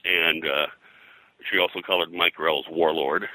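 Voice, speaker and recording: A man's voice: low voice, fairly even intonation, measured pace, bright, forceful tone, no echo, poor audio. An older man reminiscing as an interview guest, explaining things in a discursive way and often breaking off to rephrase. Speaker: interview guest, veteran comic book colorist.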